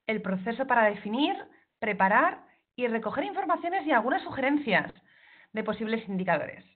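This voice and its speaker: A woman hosting an online event, introducing the guest speaker and making brisk announcements. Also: a little high. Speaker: event host. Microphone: video call. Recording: tinny phone-like online call audio.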